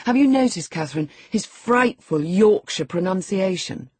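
A man's voice: sits high, animated, moderate pace, darkish tone, husky, no room sound, voice pushed up. A man voicing a sickly, sneering teenage boy who taunts in a raised, giggly voice. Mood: taunting.

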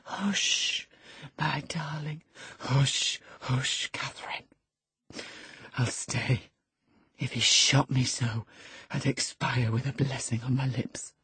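A narrator voicing a brooding, tormented man in love, husky, hushed and intense, in an audiobook. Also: a bass voice, little pitch movement, unhurried, no room sound, exaggerated voice. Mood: hushed devotion. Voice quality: husky, breathy.

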